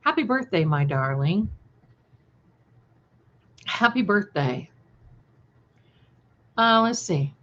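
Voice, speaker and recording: A woman's voice: mid-range voice, sing-song, slow pace, pauses often, fairly bright tone, muffled recording. A woman in her sixties hosting a casual livestream, chatting affectionately with listeners and using endearments. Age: 60s. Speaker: livestream host. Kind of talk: casual live chat with viewers.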